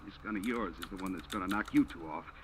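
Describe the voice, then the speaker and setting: A man's voice: sits low, fast talker, fairly bright tone. An actor playing a desperate, menacing killer with tough-guy slang in an old-time radio crime drama. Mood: scheming. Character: desperate cornered male killer, menacing, unhinged schemer. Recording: faintly noisy vintage radio recording.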